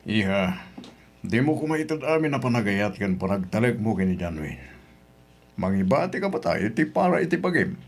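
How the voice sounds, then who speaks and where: A man's voice: fairly low pitch, very animated, moderate pace. A man acting a protective middle-aged father in a radio drama, speaking in a cautious, admonishing, paternal way. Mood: cautioning.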